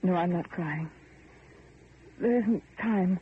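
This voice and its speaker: An actress playing an earnest, anguished heiress in an old-time radio drama, with an emotional, theatrical delivery. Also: female voice, on the low side, slow. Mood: fervent. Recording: tinny, faintly noisy vintage radio recording.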